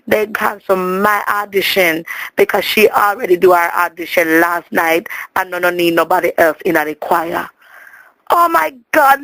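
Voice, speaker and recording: Jamaican woman, mid-pitched, chatty and theatrical, rambling through a funny personal tale with repeated words, dry recording. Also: a bit bright, dry recording, low-quality recording. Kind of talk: rambling comic storytelling in a voicemail.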